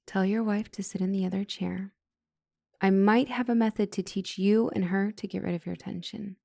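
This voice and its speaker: A woman playing a flustered, smitten doctor and couples counselor in an ASMR roleplay drama, hesitant and breathy. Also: mid-range voice, fairly even intonation, moderate pace, mellow, dry recording, natural voice. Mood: coaxing, thoughtful.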